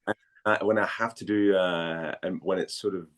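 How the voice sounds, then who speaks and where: A man from Denmark chatting casually as an interview guest, speaking haltingly and repeating words as he thinks. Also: sits low, fairly even intonation.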